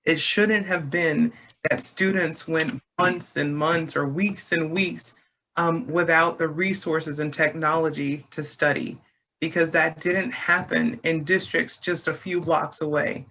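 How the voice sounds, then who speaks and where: A man speaks up at a video-call parents' meeting, very slow and deliberate in a middle voice. He uses "um" and "you know" as he builds his point, then presses hard on what he wants heard. A faint hiss sits under the call. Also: fairly high pitch, fairly even intonation, bright, forceful tone, low-quality recording. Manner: slow and measured, "um" and "you know" fillers, emphatic on key points. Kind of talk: speaking at an online community meeting.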